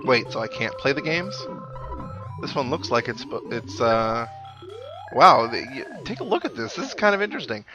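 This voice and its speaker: A man's voice: medium pitch, very animated, moderate pace. Wry man commentating over game music. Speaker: let's play host. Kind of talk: casual game commentary, reading dialogue aloud. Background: video game music.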